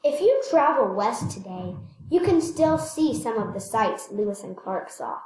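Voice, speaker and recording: A woman reading a children's picture book aloud, slightly halting, repeating phrases and adding casual asides. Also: fairly high pitch, very animated, mellow, a little hiss or hum. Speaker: read-aloud storyteller. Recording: echoey room.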